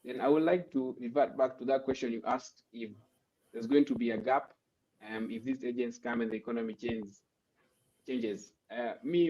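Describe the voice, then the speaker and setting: A man with a mellow, dark voice answers as a panelist over a video call. The audio is muffled and lo-fi, and he speaks thoughtfully, stopping and restarting as he searches for words. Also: mid-range voice.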